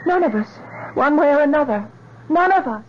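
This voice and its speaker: A woman's voice: high-pitched, animated, brisk. An actress playing a troubled, evasive woman with a hesitant, wistful manner in a 1970s radio drama. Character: troubled, evasive, soft-hearted woman with a secret. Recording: muffled, hissy old radio broadcast.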